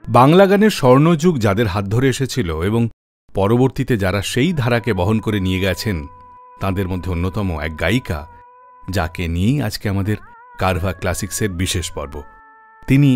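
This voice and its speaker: A man's voice: lowish voice, expressive, brisk. A man presenting a radio music show, narrating stories from a script.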